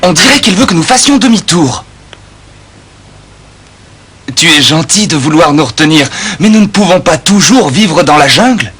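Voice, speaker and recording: A man voicing a gentle, kindly young adventurer full of wonder in a French-dubbed cartoon. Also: a little high, animated, fairly quick, a little hiss or hum. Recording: loud music behind the voice.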